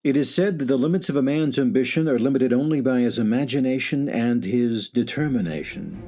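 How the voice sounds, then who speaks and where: A man playing a portentous radio drama announcer, narrating with ominous, philosophical weight and dramatic pauses. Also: mid-range voice, darkish tone, natural voice. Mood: ominous, musing.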